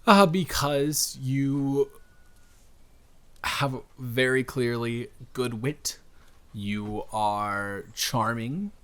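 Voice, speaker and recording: A man playing a friendly, flirty stranger in an improvised audio roleplay, talking in a rambling, hesitant, earnest way with gentle teasing. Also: medium pitch, very slow, clean tone. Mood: earnest, reassuring. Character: cute friendly male stranger at pumpkin patch, wholesome, flirty, reassuring. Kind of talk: improvised intimate audio roleplay spoken to the listener.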